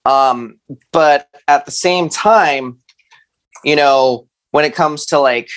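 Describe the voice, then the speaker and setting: A man speaking as an interview guest, chatting casually in a halting, self-deprecating, conversational way. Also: a little high, fairly bright tone. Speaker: musician, podcast guest. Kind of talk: casual chat.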